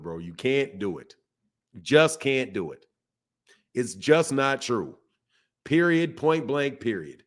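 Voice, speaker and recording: Hoarse man hosting a boxing livestream. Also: medium pitch. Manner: emphatic, repeats himself, calls listeners bro and man. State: hoarse, voice shot from yelling. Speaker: boxing channel host. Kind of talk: casual livestream commentary reading chat.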